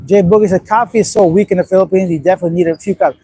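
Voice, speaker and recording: Husky high-voiced man chatting on a livestream. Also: fairly even intonation, racing, dark tone, dry recording, rough recording. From Afghanistan. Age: 30s. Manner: rambling, blunt, swears casually, reacts to chat comments. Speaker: livestream host. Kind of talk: casual live-stream chat reading viewer comments.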